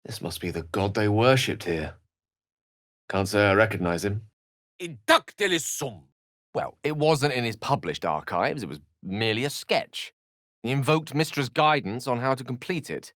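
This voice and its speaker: A man's voice: medium pitch, animated, normal speed, a bit bright, dry recording, studio quality, lightly acted. A male actor playing an erudite, wry wizard in a fantasy video game, articulate and theatrical, with a British accent and a streak of melancholy. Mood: curious, animated, then forceful incantation.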